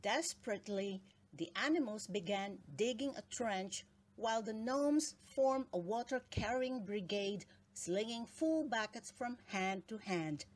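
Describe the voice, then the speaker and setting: A woman narrating a children's story aloud in an expressive, dramatic read-aloud style with deliberate phrasing. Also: medium pitch, background noise. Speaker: storybook reader.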